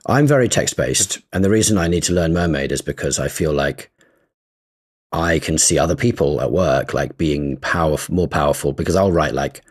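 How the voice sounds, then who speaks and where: Very deep, husky man in casual chat. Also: moderate pace. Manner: thoughtful, agreeable, many short affirmations. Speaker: podcast co-host.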